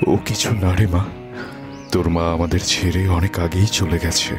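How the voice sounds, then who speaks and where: A man playing a doting, tender-hearted village father in a cartoon story, affectionate and gently expressive. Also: low voice, measured pace, over loud music. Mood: sorrowful.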